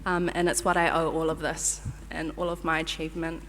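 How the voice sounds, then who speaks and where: A young woman speaks at a ceremony, her lowish voice ringing through a reverberant hall. She sounds flustered and grateful, filling pauses with ums and quick nervous laughs. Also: level tone, a bit bright, slight background noise. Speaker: medical graduate giving a speech. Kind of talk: ceremony acceptance speech.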